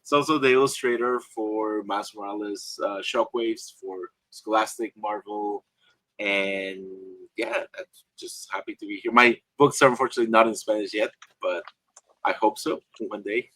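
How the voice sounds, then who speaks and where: Low bright-voiced guy from guatemala, lots of ums, dry close sound. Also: steady tone, normal speed, no echo, low-quality recording. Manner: hesitant, many fillers and restarts. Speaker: author and illustrator panelist. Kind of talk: casual panel discussion.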